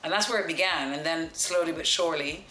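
A woman in her forties with a deep voice, telling stories as an interview guest in a confident, practised broadcaster's way. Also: brisk, bright, forceful tone, slight background noise. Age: 40s. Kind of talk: relaxed storytelling interview. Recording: echoey room with music behind.

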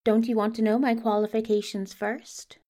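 A woman's voice: fairly high pitch, level tone, normal speed, mellow, close to an everyday voice. A woman playing a prim, politely self-assured male questing hero in a podfic drama, speaking formally and exactly. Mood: prim, confident. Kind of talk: podfic audio drama acting.

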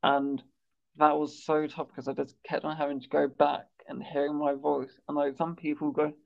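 Man, stammer, gravelly voice, flat tone, muffled lo-fi recording. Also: a little high, normal speed. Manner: stammers, repeats words, says "like" often. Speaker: campaigner and podcast host. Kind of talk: conversational interview chat. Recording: dull lo-fi sound.

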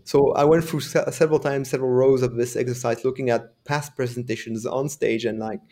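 A man talks through his experience in a measured, reflective way. His pitch sits in an ordinary middle range, and he explains points patiently as an interview guest. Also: fairly even intonation, warm tone. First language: French. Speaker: developer advocate guest. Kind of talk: conversational interview answers.

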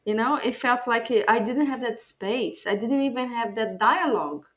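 A woman's voice: on the high side, normal speed, a crowd in the background. A woman whose first language is Portuguese, hosting a podcast and talking in a reflective, earnest way, with many fillers and restarts. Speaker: podcast host. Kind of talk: casual conversational interview.

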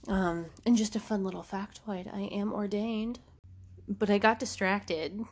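A woman's voice: mid-range voice, fairly even intonation, a little slow, darkish tone. A woman, hosting a podcast in a casual, rambling monologue, congested from allergies and laughing often. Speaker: podcast host.

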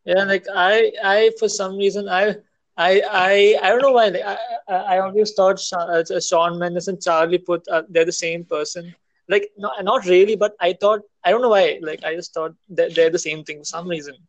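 A young man co-hosting a casual podcast over a call, halting and repeating his words. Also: sits high, steady tone, dry recording, lo-fi sound. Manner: hesitant, repeats words and restarts often. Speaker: podcast co-host. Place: at home in India. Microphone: call audio.

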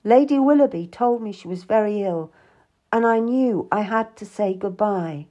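A woman's voice: medium pitch, moderate pace, dark tone, lo-fi sound, lightly acted. A woman voicing a distressed, pleading woman in an audiobook drama, emotional and defensive. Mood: apologetic, pleading.

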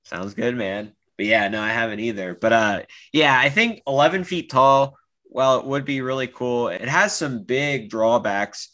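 A man's voice: medium pitch, normal speed, sharp tone. A man co-hosting a podcast in casual chat, easygoing and hedging as he talks. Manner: easygoing, hedging with lots of "like" and restarts. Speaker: podcast co-host.

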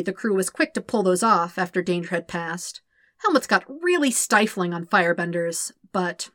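Bright-voiced woman narrating fiction. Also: fairly low pitch, no room sound, clean studio sound. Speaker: podfic narrator. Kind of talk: expressive scripted fiction narration.

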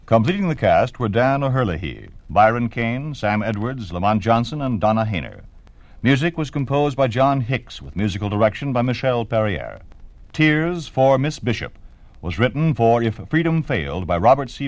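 An American man announcing in formal, solemn 1950s radio style with deliberate emphasis. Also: mid-range voice, no room sound. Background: dramatic music.

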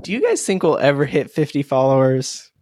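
A man's voice: mid-range voice, big pitch swings, brisk, darkish tone, dry recording, clean studio sound. A teenage man hosting a casual podcast chat with friends, giggly and self-deprecating, and his voice often cracks. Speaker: podcast host.

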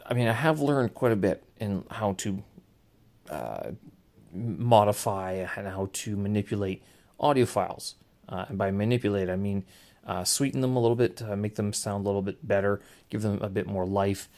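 A man with a naturally loud voice hosting a casual solo podcast, hesitant and often correcting and rephrasing himself as he goes. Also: fairly low pitch. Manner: hesitant, self-correcting, circling back to rephrase. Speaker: podcast host. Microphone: small handheld recorder or podcast microphone.

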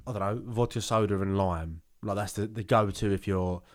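A man's voice: lowish voice, steady tone, normal speed. A young man, co-hosting a podcast in casual banter, rambling, laughing often and restarting his sentences. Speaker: podcast co-host.

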